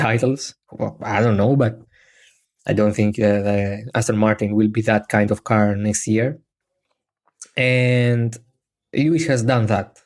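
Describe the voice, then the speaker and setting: A man's voice: mid-range voice, darkish tone. A man from Greece with a Greek accent, Greek being his native language. His voice sits in a middle range. He hosts a podcast in casual chat, with a joking, self-deprecating manner. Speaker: podcast host.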